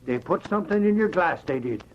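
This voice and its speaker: An actor playing an indignant, colorful sidekick in an old-time radio drama. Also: male voice, sits high, lively intonation, fairly quick. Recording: muffled, noisy old radio recording.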